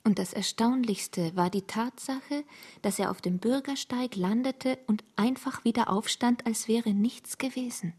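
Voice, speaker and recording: Mellow, matter-of-fact female storyteller. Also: a little high, measured pace, breathy edge. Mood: calm. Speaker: narrator in a radio play. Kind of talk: literary radio play narration.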